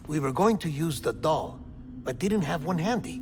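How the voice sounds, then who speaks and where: A man's voice: on the high side, animated, moderate pace, background noise. An actor playing a talkative, fussy male doctor in a video game cutscene, explaining things at length. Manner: chatty, explanatory. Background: music.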